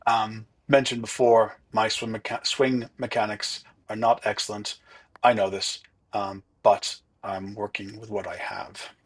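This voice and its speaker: A man narrating his own golf round in a relaxed, chatty, self-deprecating way. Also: fairly low pitch, unhurried, slightly rough. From not from Ireland. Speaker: golf vlogger. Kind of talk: casual voice-over commentary.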